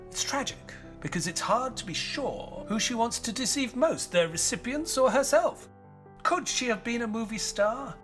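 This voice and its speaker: A loyal, melancholy doctor telling his story in a measured way. The voice is a little high for a man and moves expressively. Music plays clearly behind the dramatic narration. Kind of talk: dramatic scripted narration in an audio play.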